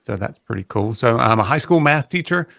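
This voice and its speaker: A man, chatting casually as a guest and pausing often with fillers. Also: mid-range voice, no room sound, clean studio sound. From North Carolina. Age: 40s. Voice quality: soothing, gravelly. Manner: relaxed, hesitant, many fillers. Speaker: guest, high school math teacher. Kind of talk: casual livestream chat. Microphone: home podcast mic.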